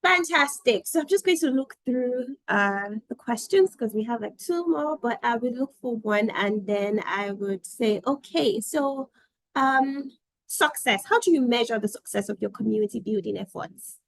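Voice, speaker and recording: A woman's voice: on the high side, animated, normal speed, vocal fry, dry recording, poor audio. A woman moderating an online panel, upbeat, reading out questions from the audience.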